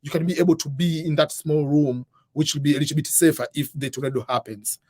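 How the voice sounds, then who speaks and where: A man's voice: fairly high pitch, fairly even intonation, quick pace, a little mellow, slightly rough, no echo, studio quality. A man hosting a livestream, answering viewer questions in an informal, rambling way, often saying "uh" and "whatever.". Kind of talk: casual advice chat.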